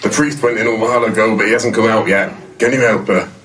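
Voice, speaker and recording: A man's voice: on the low side, fairly even intonation, fast talker, fairly bright tone, a little hiss or hum, lightly acted. An actor playing a protective, earnest old grandfather who is easily flustered, in an audio play. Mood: worried. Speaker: actor in an audio comedy drama.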